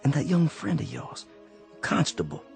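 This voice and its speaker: An actor playing a weary, quiet Confederate sergeant in a radio drama, speaking slowly and earnestly and often repeating words as he searches for them. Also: fairly high pitch, huge pitch swings, full character voice. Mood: musing. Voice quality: gravelly. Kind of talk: old-time radio drama acting. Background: faint underscore music.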